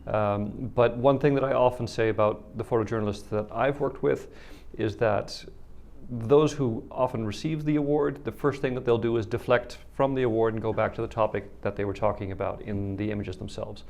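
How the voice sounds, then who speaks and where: A man from the Netherlands with a Dutch accent, a guest explaining his work in measured answers. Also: on the low side, fairly even intonation, darkish tone. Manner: measured, explanatory, some repeated words. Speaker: guest, organization head. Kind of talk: interview answers.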